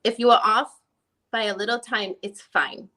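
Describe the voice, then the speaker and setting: A woman playing a methodical, probing lawyer who puts formal, deliberate questions in a dramatic deposition reading. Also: mid-range voice, steady tone, bright, forceful tone.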